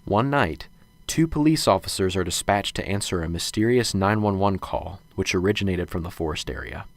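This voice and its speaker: A man with a fairly low voice narrates a radio drama. He reads scripted, scene-setting description. The recording is dry, with no room around him. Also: expressive, moderate pace, dry recording.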